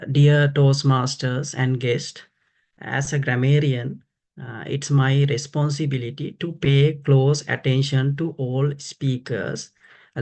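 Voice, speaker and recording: Slow, gravelly man as the grammarian. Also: mid-range voice, darkish tone. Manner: slow and deliberate, with many fillers. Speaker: grammarian at a club meeting. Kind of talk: prepared role introduction.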